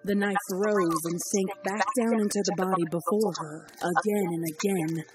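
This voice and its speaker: A narrator reading a grim fan story aloud in a steady, even storytelling voice of middle pitch. Loud music plays underneath throughout. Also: moderate pace.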